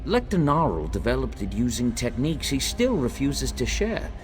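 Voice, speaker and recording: Man as a grumbling apprentice, animated pitch. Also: moderate pace, soft background music, lightly acted. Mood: resigned, wry. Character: grumbling, hapless male apprentice gardener in a fantasy world. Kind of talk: video game quest dialogue.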